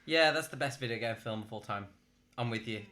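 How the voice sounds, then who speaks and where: A man co-hosting a casual podcast chat about films, talking in an animated, opinionated and exaggerating way. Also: mid-range voice, brisk. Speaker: podcast co-host. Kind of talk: casual group film chat.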